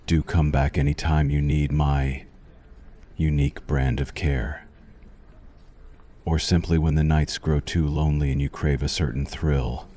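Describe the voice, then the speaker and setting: Man acting a very deep, slow, suave vampire doctor. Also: little pitch movement, mellow. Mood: courtly, teasing. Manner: slow, intimate, courtly, menacingly soothing. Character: suave possessive male vampire doctor, hungry and courtly. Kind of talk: ASMR roleplay.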